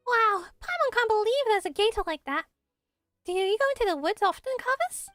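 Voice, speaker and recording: A woman voicing a small, excitable, chatty childlike fairy companion for a game. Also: extremely high pitch, fairly quick, no room sound, pitched above the performer's own voice. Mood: excited.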